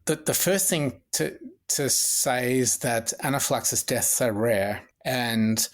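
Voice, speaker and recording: An Australian man in his forties, an emergency physician speaking as a guest in a podcast interview, explaining in a measured, methodical way with frequent restarts. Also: medium pitch.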